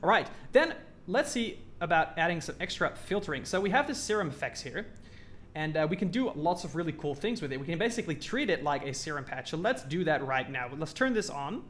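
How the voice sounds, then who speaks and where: A man's voice: fairly high pitch, very animated, fairly quick, a bit bright, slight background noise. A man giving a casual tutorial as a music production instructor, informally thinking aloud as he demonstrates.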